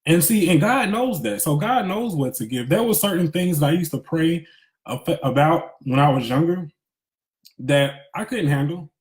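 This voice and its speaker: Echoey, higher-pitched man giving a pep talk. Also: animated, normal speed, darkish tone. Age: 30s. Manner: emphatic, preacherly, repeats phrases for emphasis; conversational street-smart slang, candid. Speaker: motivational speaker. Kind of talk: casual spiritual pep talk, livestream. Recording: echoey, roomy sound.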